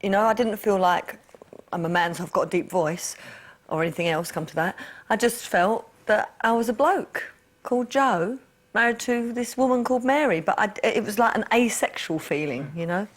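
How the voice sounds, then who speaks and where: A woman answers interview questions in an earnest, reflective way. Her voice sits at an ordinary middle pitch. She circles back and repeats herself as she thinks aloud. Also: moderate pace. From East London. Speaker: interview guest. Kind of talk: candid personal interview.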